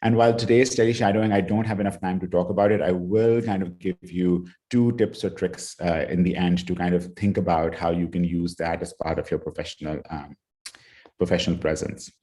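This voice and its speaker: Low-voiced man giving a relaxed online lecture. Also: fairly quick. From Pakistan. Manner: relaxed, with many fillers like "you know" and "kind of". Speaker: pathologist giving a talk. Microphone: video call.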